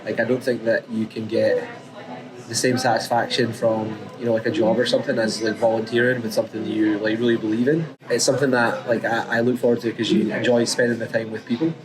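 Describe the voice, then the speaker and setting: A man from Scotland with a Scottish accent, talking in a relaxed documentary interview and tagging his thoughts with "you know" and "right.". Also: mid-range voice, fast talker, a crowd in the background, poor audio. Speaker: supporters' group volunteer. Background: music.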